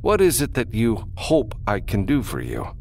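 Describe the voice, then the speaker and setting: A man voicing a smooth, aloof criminal businessman in an audiobook, formal and condescending. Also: medium pitch, wildly swooping pitch, moderate pace, darkish tone, slight background noise, voice pushed up. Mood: cool, polite. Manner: formal, coolly polite, condescending.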